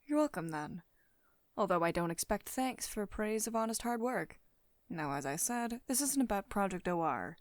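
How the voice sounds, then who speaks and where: A woman's voice: medium pitch, animated, no echo, lightly acted. Woman acting a poised, dominant female CEO, close and dry. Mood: firm, patient. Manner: measured, authoritative, condescendingly affectionate. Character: poised, teasing, mildly dominant female CEO of tech firm. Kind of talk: ASMR roleplay, intimate one-sided dialogue. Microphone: close studio-style microphone, dry voice-over.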